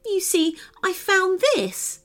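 A woman's voice: very high voice, very animated, slow, fairly bright tone, voice pushed up, full character voice. A woman voicing a small, frightened pointy-eared girl from a storybook, speaking in a shaky, tearful, earnest voice.

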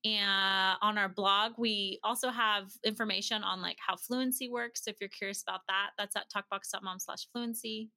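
A woman's voice: medium pitch, fairly even intonation, normal speed, few pauses, pushed and bright. A woman chatting informally as an interview guest, playful and easily amused. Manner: playful, informal, breaks into laughter. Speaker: podcast guest, language-learning business representative. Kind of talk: casual interview chat.

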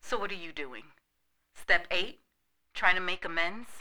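A woman's voice: fairly low pitch, animated, measured pace, pushed and bright, full character voice. An actress playing a wounded adult daughter, sarcastic and accusing as she confronts her father in an audio drama. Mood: bitter, scornful.

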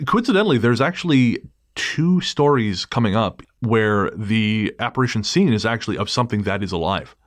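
A male podcast host with a lower voice, trading stories and crude jokes in a relaxed chat. His sound is close and dry, with no room around it. He laughs easily at his own lines. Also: a little slow, long pauses, no room sound. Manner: joking, crude humor with easy laughter. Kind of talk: casual podcast conversation.

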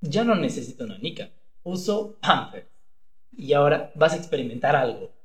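A man's voice: a little high, very animated, normal speed, a bit bright, roomy sound. A man playing a cowardly, boastful henpecked husband in a comedic radio play, hesitant one moment and blustering the next. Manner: hesitant stammering, then blustering.

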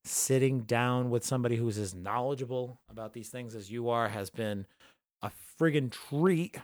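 A man hosting a podcast, talking casually in a relaxed, rambling way with lots of fillers and restarts. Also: medium pitch, moderate pace, dry recording, studio quality. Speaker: podcast host. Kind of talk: casual chat.

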